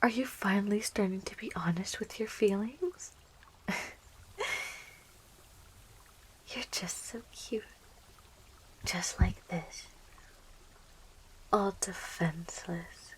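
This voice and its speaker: A woman voicing a young, possessive, lovestruck plant monster girl in an intimate, breathy, slow and coaxing ASMR roleplay, close to the microphone. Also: medium pitch, a little mellow. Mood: hypnotic, coaxing. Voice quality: breathy, whispery. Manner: slow, coaxing, teasing, giggly, doting.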